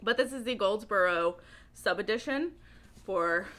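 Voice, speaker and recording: A woman talking in a casual, chatty book vlog style, with a giggly, animated and informal, rambling manner. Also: medium pitch, unhurried. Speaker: book reviewer vlogger.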